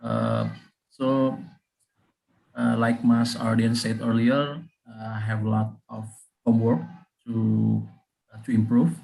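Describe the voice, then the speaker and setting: Man, gravelly, slow and hesitant, on a video call. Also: on the low side, expressive, a little mellow, rough recording. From Indonesia. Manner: hesitant, many fillers. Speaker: club member, speech winner. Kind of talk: short impromptu remarks.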